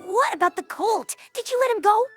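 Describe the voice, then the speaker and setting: Brash, loyal young female pegasus pony, very high and swooping, youthful and cocky, informal cartoon dialogue. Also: lively intonation, brisk, soft background music. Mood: excited. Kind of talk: animated cartoon-style audio drama dialogue.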